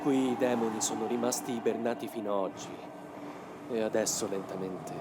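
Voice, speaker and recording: A man's voice: on the low side, fairly even intonation, a little fast, heavy background noise, close to an everyday voice. A man voicing a grave, determined young man in a motion comic dub, with a solemn, explanatory delivery. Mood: grave, ominous. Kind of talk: dramatic motion comic dubbing. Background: music.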